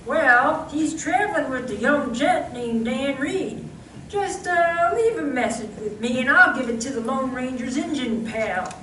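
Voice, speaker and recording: Woman playing a high, bright Western ranch hand. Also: animated, unhurried, heavily acted. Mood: plain, helpful. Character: friendly, plain-spoken Western male ranch hand. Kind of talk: old-time radio western acting. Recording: distant roomy live stage recording, faint noise.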